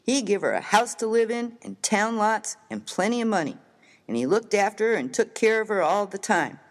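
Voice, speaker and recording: A man playing a rough, hearty, good-natured American miner of the Old West in a dramatised story reading, with slangy, folksy, emphatic speech. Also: high-pitched, lively intonation, moderate pace. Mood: hearty, sincere.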